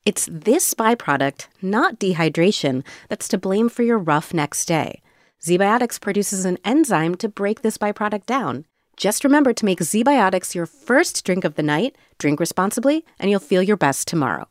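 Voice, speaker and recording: A woman reads scripted advertising copy with lively, varied intonation. Her pitch is average and the recording is very dry and close. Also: moderate pace, no room sound. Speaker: podcast sponsor-read announcer.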